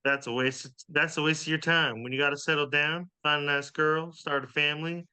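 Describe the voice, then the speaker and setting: A man's voice: on the high side, steady tone, fairly quick, pushed and bright, smooth. A man playing a kindly, flirtatious, motherly diner waitress in a comedy table read, with a folksy, encouraging manner. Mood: sweet, encouraging.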